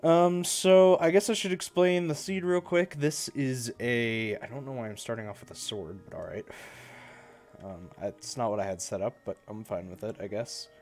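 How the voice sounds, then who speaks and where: A man's voice: medium pitch, fairly even intonation, normal speed, darkish tone, soft background music. A young man chatting casually on a live stream, a bit congested from a cold, rambling and correcting himself as he goes.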